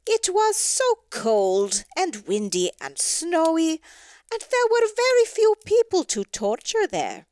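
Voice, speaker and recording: A woman reading a story aloud in an expressive, theatrical and wry storytelling manner. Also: extremely high pitch, slow, dry recording. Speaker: story reader. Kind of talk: expressive scripted storybook narration.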